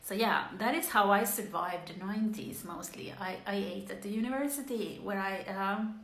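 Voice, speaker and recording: A woman's voice: medium pitch, normal speed, a bit bright, reverberant, low-quality recording. A woman in her forties from Finland, talking casually to the camera in a rambling monologue with many fillers and restarts and wry asides. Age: 40s.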